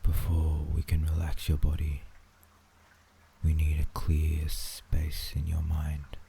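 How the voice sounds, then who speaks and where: A man with an Australian accent narrating a sleep visualization in a soothing, hushed ASMR manner, often dropping into a whisper. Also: very deep voice, monotone, slow, gravelly. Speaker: guided meditation narrator. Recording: faint background noise and ambience.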